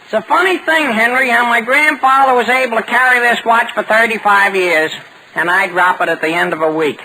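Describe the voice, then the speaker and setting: An actor playing an eager, excitable teenage boy in a 1940s radio comedy, earnest and prone to stammering. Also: very high-pitched, fairly quick, full character voice, poor audio. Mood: fretful. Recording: hissy vintage 1940s radio recording.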